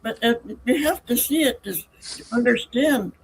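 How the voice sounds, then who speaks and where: A woman's voice: on the high side, expressive, moderate pace, vocal fry, a little hiss or hum, rough recording. Enthusiastic woman podcast co-host. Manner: warm and enthusiastic, with hesitations. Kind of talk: casual interview hosting.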